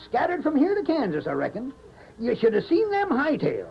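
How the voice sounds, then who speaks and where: High, excitable rancher father. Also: moderate pace, vocal fry, heavily acted. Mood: gleeful. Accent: rural Western American. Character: feisty, bossy rancher father defending his land. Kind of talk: 1940s radio western acting. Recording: dull vintage radio, music and crowd noise.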